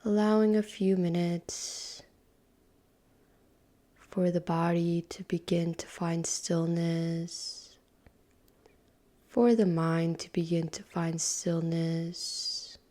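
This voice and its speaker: A woman leads a guided meditation in a calm, unhurried way, with a soft, breathy voice that slips into near-whispers and long pauses between phrases. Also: lowish voice.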